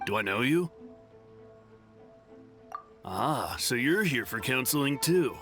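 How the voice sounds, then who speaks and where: Smug, touchy male devotee for a video game: bright, very animated, theatrical snootiness, light music under. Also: medium pitch, slow, exaggerated voice. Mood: snooty, offended.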